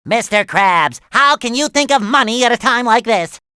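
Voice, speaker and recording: A man voicing an excitable, naive, cheerful young cartoon sea sponge in a video game, with a squeaky nasal voice and eager, childlike earnestness. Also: moderate pace, clear, resonant tone, dry recording, studio quality, full character voice. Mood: earnest. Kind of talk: animated video game voice lines.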